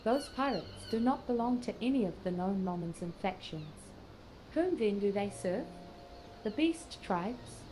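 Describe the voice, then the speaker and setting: A woman's voice: mid-range voice, lively intonation, mellow, slight background noise, close to an everyday voice, rough recording. An actress playing an earnest, scholarly female sage in a fantasy game, pensive and formally spoken. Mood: wary pondering. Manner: measured, pensive, archaic phrasing. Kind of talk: scripted fantasy game dialogue.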